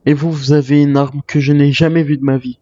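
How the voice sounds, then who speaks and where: A man's voice: a little high, flat delivery, a little fast, mellow, no room sound, muffled recording, close to an everyday voice. A man playing a guarded retired military man turned hunter in an improvised zombie game roleplay, with terse questions and replies. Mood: tense, wary.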